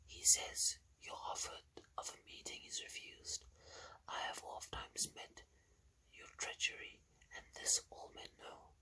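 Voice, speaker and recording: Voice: extremely high pitch, measured pace, pushed and bright, full character voice. An ASMR reader whispering the lines of a sly, mocking male assassin-advisor.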